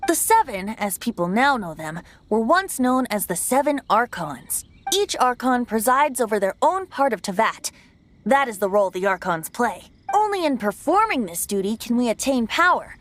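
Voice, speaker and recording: A woman's voice: a little high, very animated, normal speed. A woman voicing a youthful, boyish male bard god in a video game, playful, airy and theatrical. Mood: playful, explanatory.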